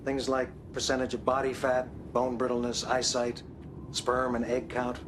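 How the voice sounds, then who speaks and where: An actor, playing a weary, grave field doctor in a game drama, explaining in a measured, halting way. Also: male voice, mid-range voice, monotone, noisy background, lightly acted. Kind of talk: film drama acting.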